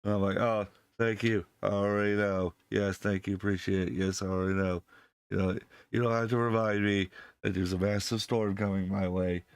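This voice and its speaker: A man hosting his channel while sick, his voice deepened by illness, talking casually to viewers with many fillers and restarts into a desk microphone that clips when he is too close. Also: little pitch movement, moderate pace, smooth, no room sound. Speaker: channel host.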